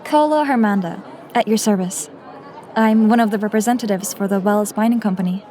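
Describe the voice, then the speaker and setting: A woman's voice: mid-range voice, fairly quick, dark tone, lightly acted. A woman playing a courteous, guarded young woman in an audio drama, speaking formally and a little hesitantly. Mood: politely deferential. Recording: music and crowd murmur behind.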